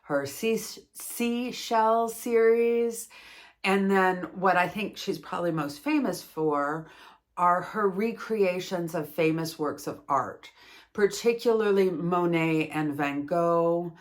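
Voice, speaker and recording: A woman's voice: fairly low pitch, slow. A woman from Canada with a Canadian accent, chatting casually as a host reviewing yarn, rambling and laughing at herself. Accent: Canadian English. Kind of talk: casual chat, product review.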